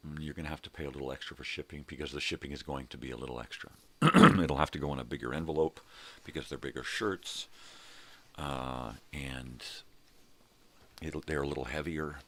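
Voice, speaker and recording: A man's voice: extremely low pitch, brisk, fairly bright tone. An older man rambling casually and unhurriedly as he hosts a morning vlog, repeating words for emphasis. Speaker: host of a daily morning vlog.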